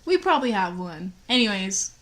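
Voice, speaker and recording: A young woman co-hosting a podcast, chatting casually with blunt, sweary deadpan remarks. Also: mid-range voice, moderate pace. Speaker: podcast co-host. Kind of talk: casual chat.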